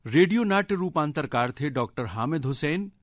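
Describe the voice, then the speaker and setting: A man making a formal scripted radio announcement, reading credits in a measured, carefully enunciated way. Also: fairly high pitch, expressive, no room sound, studio quality.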